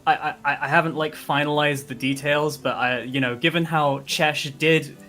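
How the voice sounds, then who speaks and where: Excitable tabletop player with music behind. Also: medium pitch, moderate pace. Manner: excitable, gasps and exclaims. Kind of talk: casual chat, roleplaying banter.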